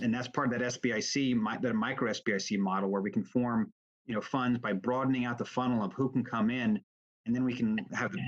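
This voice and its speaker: A man speaks at a middling pitch as an expert witness at a formal hearing. He explains in a measured but halting way, thinking as he goes. The sound is dull and lo-fi, like a video call. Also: a bit bright. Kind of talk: formal testimony, speaking off the cuff.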